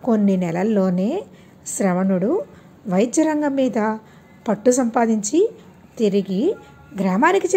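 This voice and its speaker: Expressive, high-pitched woman storyteller. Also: husky. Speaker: audiobook storyteller. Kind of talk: scripted moral story narration.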